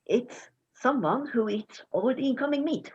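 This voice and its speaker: A woman's voice: on the high side, animated, measured pace, a little mellow, slightly rough, dull audio, full character voice. A woman playing a hapless, cheeky and impulsive farm boy in an amateur myth play reading. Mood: nervously helpful.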